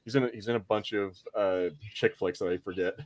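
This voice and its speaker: A man's voice: fairly low pitch, fairly even intonation, fairly quick. A man hosting a podcast in casual fan chat, informal and sweary, thinking aloud as he goes. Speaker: podcast host.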